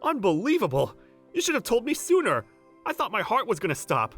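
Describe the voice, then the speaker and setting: A man's voice: high-pitched, wildly swooping pitch, brisk, a bit bright, faint music behind, heavily acted. An actor playing an earnest, protective young male manager who narrates a romcom manga dub in first person. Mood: flustered. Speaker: narrator and lead character.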